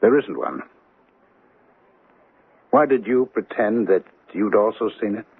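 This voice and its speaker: A man's voice: lowish voice, expressive, unhurried, gravelly, husky, heavily acted. A man playing a courteous, troubled, well-off banker in an old-time radio drama, speaking formally and hesitantly. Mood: earnest. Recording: tinny band-limited radio drama recording.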